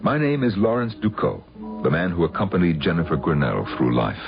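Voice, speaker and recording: A guarded, gravelly, deep-voiced widower. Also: male voice, sing-song, fairly quick, exaggerated voice. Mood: grave narration. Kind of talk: 1970s radio drama acting. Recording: muffled old radio broadcast, music behind.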